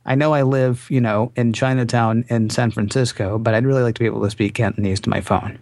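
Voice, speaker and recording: A man with a medium-pitched voice hosting a casual tech podcast. He poses curious, searching questions in a chatty manner. The voice is dry and close to the mic. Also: steady tone, fast talker, creaky, darkish tone, no room sound. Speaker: podcast host.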